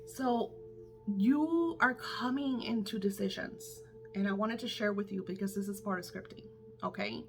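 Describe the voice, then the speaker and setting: A woman's voice: mid-range voice, normal speed, creaky. Candid, swearing woman coach over music. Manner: candid and emphatic, swears freely. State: losing her voice. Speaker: manifestation coach. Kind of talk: casual unscripted talk to viewers.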